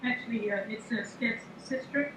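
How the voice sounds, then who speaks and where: A man's voice: extremely high pitch, moderate pace, reverberant, background noise, pitched above the performer's own voice. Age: adult. Sex: man through a voice changer as a woman. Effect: toy voice changer. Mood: deadpan. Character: eerie high female voice from a toy voice changer.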